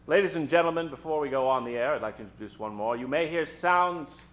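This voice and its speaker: A man hosting and narrating an old-time radio spoof on stage, with a theatrical, mock-ominous delivery full of dramatic pauses. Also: on the high side, a bit bright, slight background noise. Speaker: host and narrator of a radio show. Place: theater stage before an audience. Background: live keyboard music.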